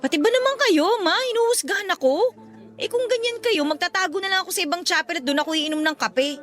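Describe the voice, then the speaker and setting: A woman's voice: extremely high pitch, fast, fairly bright tone, faint music behind. A woman playing a withdrawn, defensive young daughter in a Tagalog radio drama, with a sulky manner. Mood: hurt, annoyed.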